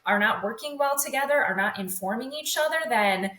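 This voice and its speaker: A woman's voice: fairly high pitch, animated, fairly quick, sharp tone. A woman talking as an interview guest in a talkative, emphatic, explanatory way, in casual conversation. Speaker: podcast guest, communications agency executive. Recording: roomy echo.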